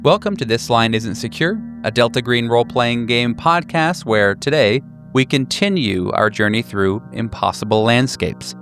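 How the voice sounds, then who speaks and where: A man's voice: medium pitch, normal speed, fairly bright tone, faint music behind. A man hosting a tabletop role-playing podcast as game master, introducing the show and prompting players.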